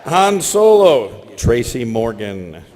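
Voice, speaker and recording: A man's voice: medium pitch, sing-song, slow pace, darkish tone. A man hosting a live stage show, casually announcing roles to performers and audience. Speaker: host. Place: convention stage before an audience. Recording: echoey convention hall.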